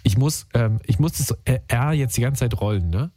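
Playful male radio host, mellow and dry. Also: mid-range voice, lively intonation, no echo. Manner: playful, teasing, quick banter with callers. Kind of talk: late-night radio call-in chat. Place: radio studio. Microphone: studio microphone.

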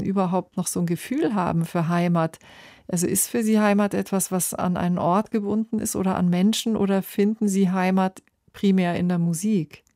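A woman's voice: fairly low pitch, dark tone, no room sound, studio quality. A woman hosting a music interview, asking questions in an articulate, composed way. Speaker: radio interviewer and music host.

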